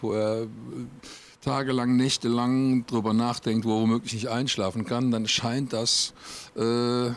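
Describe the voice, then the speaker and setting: A man, answering interview questions outdoors on a rooftop into a handheld mic, thoughtful and searching, with frequent pauses. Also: medium pitch. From Cologne, Germany. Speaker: singer-songwriter.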